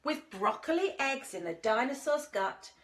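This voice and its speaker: A woman reading a children's story aloud in a dramatic, expressive way, speaking directly to young listeners. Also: high-pitched, moderate pace. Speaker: infant school teacher reading stories to children.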